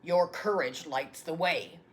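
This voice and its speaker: A woman voicing a gentle, loving old grandfather in a solemn, tender storybook read-aloud. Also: low-pitched, takes it slowly, pitched below the performer's own voice. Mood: proud.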